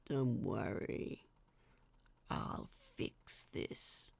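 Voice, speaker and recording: A woman acts out a huge storybook giant, male and mild-mannered. He speaks low and very slowly, with a gravelly edge. He sounds like a gentle, apologetic husband making casual conversation. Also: exaggerated voice. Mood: apologetic, reassuring.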